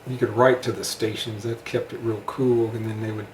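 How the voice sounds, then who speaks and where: A man's voice: on the low side, flat delivery, brisk. A middle-aged man with an American accent, casually reminiscing as he is interviewed. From United States. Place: indoor room at a home. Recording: roomy echo, faint background noise.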